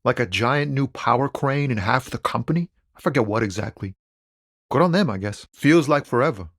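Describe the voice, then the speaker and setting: An actor playing a laid-back, philosophical lorry driver and amateur poet in a video game, talking in a wry, easygoing, slangy way. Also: male voice, medium pitch, lively intonation, normal speed, fairly bright tone, no room sound, studio quality. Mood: wry, musing. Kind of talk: video game character dialogue.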